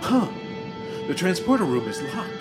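A man's voice: sits high, very animated, moderate pace, loud music behind the voice. A man voicing a greedy, whiny male crewman in a comedy audio drama, given to giddy squeals. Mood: complaining.

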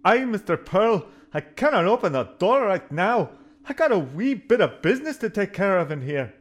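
An actor playing a fussy, grumbling starship engineer with an in a sci-fi parody audio drama. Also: male voice, sits high, sing-song, normal speed. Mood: fussy, protective.

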